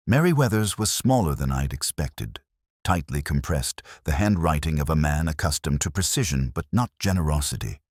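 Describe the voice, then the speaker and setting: Very deep-voiced man narrating a detective story. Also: no echo, clean studio sound. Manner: measured, solemn, reflective. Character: melancholy, erudite male English police inspector narrating his case. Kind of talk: scripted literary detective narration.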